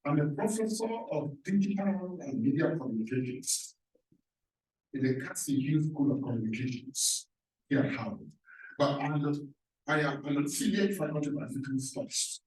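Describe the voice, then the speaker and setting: A man from Cameroon speaks on a panel, pressing his points with emphatic rhetorical questions. His voice sits a little high. He is picked up from some distance in a roomy, echoing hall. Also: expressive, moderate pace, a little mellow. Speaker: professor on a panel. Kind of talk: panel self-introduction. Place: large echoey hall.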